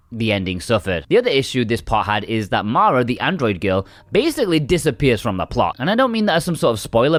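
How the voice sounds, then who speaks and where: Male game reviewer for scripted voice-over, animated intonation, measured explanatory delivery, close and dry sound. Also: mid-range voice, dry recording.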